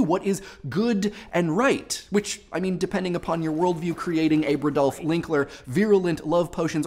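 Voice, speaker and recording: An online video host narrating a scripted explainer with quick, wry asides. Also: mid-range voice, darkish tone.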